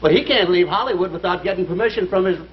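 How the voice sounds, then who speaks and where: An actor playing an unflappable band member making excuses, in 1950s sitcom style. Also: male voice, high voice, fast, natural voice. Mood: reassuring, matter-of-fact. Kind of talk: 1950s television sitcom acting before an audience. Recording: noisy, dull 1950s broadcast.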